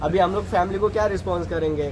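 A man's voice: high-pitched, fairly even intonation, rapid-fire. A man in his twenties, a college student, chatting casually outdoors. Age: 20s. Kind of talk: casual chat. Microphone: friend's phone at arm's length. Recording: noisy outdoor phone recording, crowd chatter.

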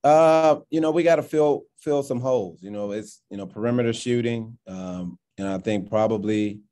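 A man in his thirties, a basketball coach, answering post-game press questions over a video call in a measured, halting way full of fillers and restarts. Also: lowish voice, dark tone. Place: indoors in front of a sponsor backdrop. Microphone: video call, framed at desk distance.

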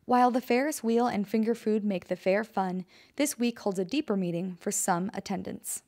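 A woman's voice: medium pitch, normal speed, warm tone. Upbeat young woman reporting. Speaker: student reporter. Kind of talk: scripted news narration.

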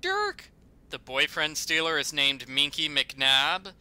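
A man's voice: on the high side, huge pitch swings, slow, sharp tone, clear, resonant tone. A man delivering scripted, sarcastic, mock-indignant narration that nitpicks a video game. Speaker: video critic.

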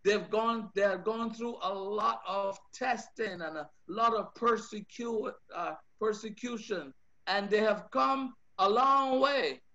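Slow, high-voiced Bajan preacher. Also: male voice, bright, forceful tone. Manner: earnest, repeats words while building points. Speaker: pastor. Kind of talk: sermon over video call. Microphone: video call. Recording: dull lo-fi video call audio.

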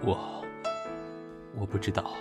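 A man playing a lovesick, anxious and reserved young man in an amateur audio drama, with halting speech. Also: natural voice. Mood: confused, hesitant. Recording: music under the voice.

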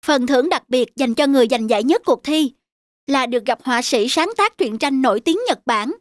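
Woman acting upbeat, high-voiced cartoon schoolteacher. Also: brisk, close to an everyday voice. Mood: pleased, announcing. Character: upbeat female schoolteacher addressing her class. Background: faint music.

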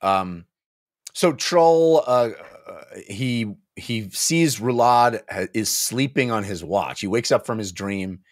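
A man's voice: fairly low pitch, expressive, takes it slowly, no echo, studio quality. A man in his forties co-hosting a book club show, recapping a story descriptively in casual chat and sometimes acting out a character's line. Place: home office, on a video call. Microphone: desk microphone, close.

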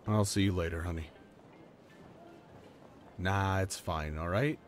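A man acting a low-voiced, easygoing boyfriend. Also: takes it slowly, soft background music. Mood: subdued, affectionate. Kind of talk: roleplay audio drama, soft conversational acting.

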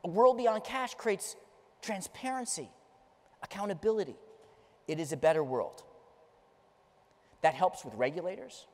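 A man's voice: high voice, a little slow, pauses often. A middle-aged man giving a conference keynote, telling stories with emphasis as he gestures and moves around a large stage. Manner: emphatic, storytelling delivery, gesturing while pacing the stage. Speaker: keynote speaker. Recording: long reverb of a large hall.

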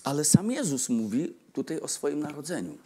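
A man's voice: a little high, breathy edge. A man in his forties giving a Christmas sermon at a lectern mic in a church hall, gesturing and putting questions to the congregation. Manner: animated, asks the congregation questions, gestures broadly. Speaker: preacher. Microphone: stand mic at lectern.

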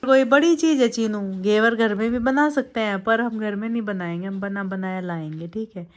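A woman's voice: mid-range voice, normal speed. A middle-aged woman chatting casually as a vlogger, recorded on a phone at arm's length. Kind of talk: casual vlog chat to camera and family. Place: small home rooms and inside a car.

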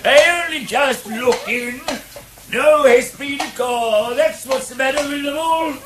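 An actor with a British accent playing a grumbling comic interloper in a 1970s radio drama. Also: male voice, very high-pitched, measured pace, fairly bright tone. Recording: old radio broadcast, crowd noise.

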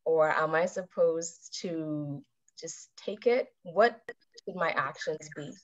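A woman in her thirties giving a calm, measured talk to a club over a video call and putting questions to her listeners. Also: low voice, a little hiss or hum. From Bahamas. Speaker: club speaker. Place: indoors with a virtual background. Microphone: webcam on a video call. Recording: dull video-call audio.

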